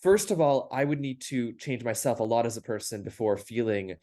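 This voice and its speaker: A young man with a middle-pitched voice and an American accent, raised in Paris, talks as a guest on a casual podcast. He is articulate and reflective and builds his thoughts into long, flowing answers. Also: expressive, a little fast, dark tone. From France, grew up in Paris. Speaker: podcast guest, startup founder. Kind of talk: casual conversational interview.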